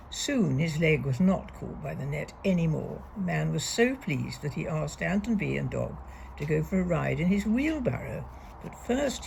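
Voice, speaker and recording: Woman storybook reader for young children, very creaky voice, lively melodic swings, emphatic key words, faint background noise. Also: sits low, low-quality recording. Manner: deliberate, expressive read-aloud with emphasised key words. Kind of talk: reading a young children's picture book aloud.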